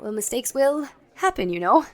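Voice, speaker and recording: A woman's voice: high-pitched, lively intonation, normal speed, some chatter behind. A woman playing a long-suffering, fussily polite department store floorwalker in a 1940s-style radio comedy. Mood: flustered, placating. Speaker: actor in an amateur radio play recreation.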